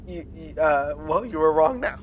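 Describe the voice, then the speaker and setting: A woman's voice: deep voice, animated, normal speed, dull audio, background noise. A woman co-hosting a podcast in casual chat, playfully quizzing and teasing her co-host and laughing often.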